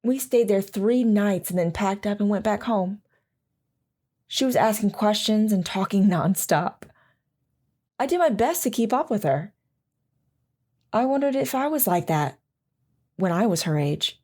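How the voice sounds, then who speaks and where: A woman's voice: mid-range voice, expressive, a little slow, pauses often, dark tone. A woman playing a loving mother in an audio drama, speaking in a reflective, sentimental way. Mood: wistful, tender. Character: loving, wistful mother recalling camping with her daughter. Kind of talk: audio drama narration and dialogue.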